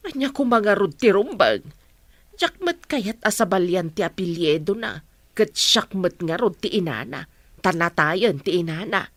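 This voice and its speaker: An actress playing a middle-aged village mother in a radio drama, worried, questioning and expressive. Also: fairly low pitch, measured pace, dry recording. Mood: defensive, alarmed.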